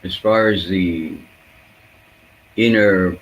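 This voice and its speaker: A man, a Hebrew speaker, giving an unscripted reflective spiritual talk in halting, fragmented phrases with searching pauses. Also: low-pitched, lo-fi sound.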